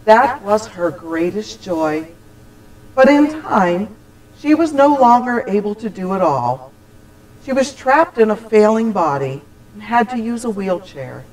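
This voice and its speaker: A woman telling a scripted personal holiday story, with deliberate, expressive, dramatic phrasing. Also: medium pitch, poor audio. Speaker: church storyteller.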